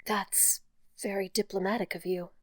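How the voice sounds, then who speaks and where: A woman playing a grieving, self-doubting young woman in an audio drama, subdued and halting. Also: medium pitch, fairly even intonation, no echo, natural voice. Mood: subdued gratitude. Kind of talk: naturalistic audio drama dialogue.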